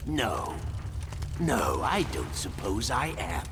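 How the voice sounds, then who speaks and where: An actor playing a confident sorcerer villain in a video game, with a self-assured, theatrical manner. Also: male voice, lowish voice, huge pitch swings, slow, exaggerated voice. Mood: subdued. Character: smooth-talking, confident male sorcerer villain. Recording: clearly noisy background.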